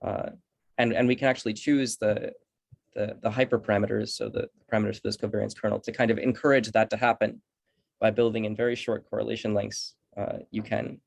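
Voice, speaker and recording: A man speaking as a researcher at an online academic seminar, explaining in halting phrases with repeated words. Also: fairly low pitch, flat delivery, creaky, no echo. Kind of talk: academic presentation and answering questions.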